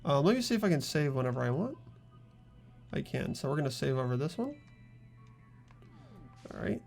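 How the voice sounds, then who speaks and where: A man's voice: on the high side, very animated, moderate pace, creaky, darkish tone, soft background music. A man hosting a casual group playthrough, talking hesitantly with filler words. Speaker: host running the playthrough. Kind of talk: casual game chat.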